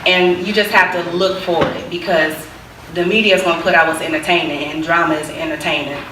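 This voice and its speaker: A woman's voice: lowish voice, steady tone, a little fast. A young woman giving a confident, opinionated panel answer. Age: young adult. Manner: confident, opinionated, repeats "as far as I'm concerned". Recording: distant echoey hall recording with background noise.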